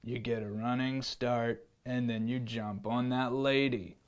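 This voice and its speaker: A man voicing a stern male lion who teaches lessons, speaking low, slow and unimpressed. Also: fairly even intonation, natural voice.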